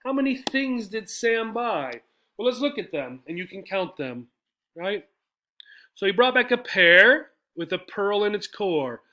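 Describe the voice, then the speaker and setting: A man's voice: sits high, sing-song, measured pace, sharp tone. A man teaching English, explaining step by step in a didactic way with frequent questions and check-in tags. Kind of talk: explanatory English lesson with poem reading.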